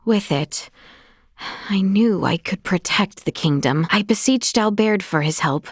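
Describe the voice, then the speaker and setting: A woman's voice: medium pitch, expressive, measured pace, husky, no room sound. A woman playing a young princess in a video game, speaking in a formal, courtly and earnest way, recorded dry in a studio. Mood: warm, earnest. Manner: formal, courtly, archaic phrasing. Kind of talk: scripted video game voice acting.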